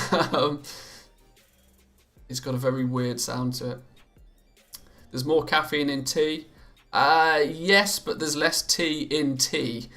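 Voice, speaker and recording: A man's voice: a little high, fairly even intonation, takes it slowly, long pauses, sharp tone, faint music behind. A British man chatting casually on a live stream from home. He reads out viewer comments and answers them, often stammering and restarting, and laughs easily. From Britain. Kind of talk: casual live-stream chat while gaming.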